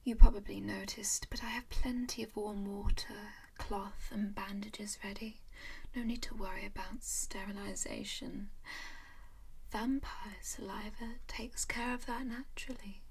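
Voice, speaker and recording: A woman's voice: medium pitch, fairly even intonation, exaggerated voice. A woman whispering as a doting ancient vampire. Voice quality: whispered, breathy. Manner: soft, doting, unhurried. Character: ancient female vampire, genteel, possessive, calmly scheming. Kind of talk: ASMR roleplay.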